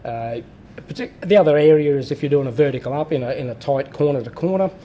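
A man's voice: fairly high pitch, quick pace, warm tone, slight background noise. A man in a workshop explaining a welding machine step by step, plain-spoken with fillers and restarts. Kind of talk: hands-on technical explanation.

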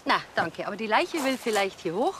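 A woman's voice: mid-range voice, very animated, a little fast, a bit bright, a little hiss or hum. A woman playing a dry, unimpressed forensic doctor, curt and sardonic, in a TV crime comedy.

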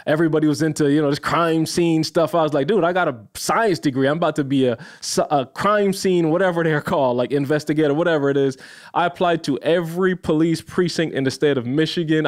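A man from Barbados, a podcast guest in his thirties, telling the story of his career in a lively, casual way. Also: a little high, fairly quick. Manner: animated, addresses listeners as y'all, laughs often.

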